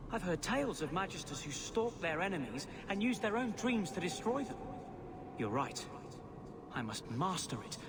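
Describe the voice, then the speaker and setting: An actor playing a troubled but earnest young mage who questions everything. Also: male voice, sits high, background noise.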